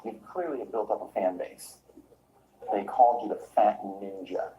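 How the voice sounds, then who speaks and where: A man hosting a comedy show, narrating clips and interviewing a guest with deadpan, mocking sarcasm. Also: on the low side, moderate pace, highs cut off, slight background noise.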